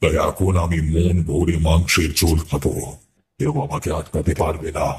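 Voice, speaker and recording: A man's voice: low voice, fairly even intonation, normal speed, a little hiss or hum, close to an everyday voice. A man voicing a greedy, stubborn, menacing ghost in a horror cartoon, speaking with defiance. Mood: defiant, menacing. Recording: loud music over the voice.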